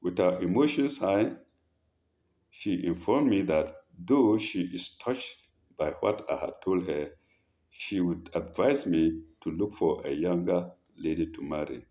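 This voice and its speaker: Man, advice show host, low mellow voice, rereads and corrects himself. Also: a little slow. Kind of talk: reading listener letters aloud with commentary.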